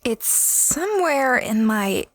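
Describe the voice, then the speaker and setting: A woman's voice: on the high side, very animated, takes it slowly, no echo, heavily acted. A woman playing an apologetic young female space adventurer in an audio drama, hesitant and self-effacing. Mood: reflective. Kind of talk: audio drama dialogue and diary-like narration.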